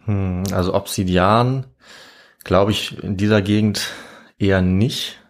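A man with a fairly low voice hosts a podcast. He talks in a casual, conversational way, as in a relaxed exchange with a co-host. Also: takes it slowly.

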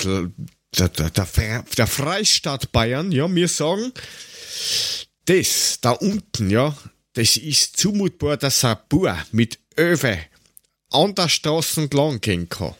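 A man talks as a podcast host with very animated intonation. He rambles sarcastically through casual, comedic stories. The sound is dry, with little room on it. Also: medium pitch, no echo. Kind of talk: casual comedic chat and storytelling.

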